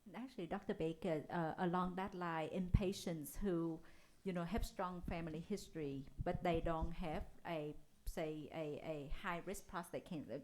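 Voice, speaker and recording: A woman moderating a medical panel, asking questions politely and a little haltingly. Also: on the low side, darkish tone.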